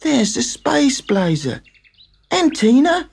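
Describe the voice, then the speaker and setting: A storyteller voicing a polite, excitable young boy in a children's space story. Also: sits high, slow, vocal fry, breathy edge, pitched above the performer's own voice, exaggerated voice. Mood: sleepy wonder.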